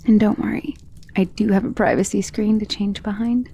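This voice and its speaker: Woman acting a husky, intimate vampire lady. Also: medium pitch, fairly even intonation, moderate pace, dark tone. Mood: gentle, reassuring. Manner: intimate, soothing, often dropping to a breathy whisper. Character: ancient powerful female vampire, protective, self-assured, tenderly possessive lover. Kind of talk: ASMR romance roleplay.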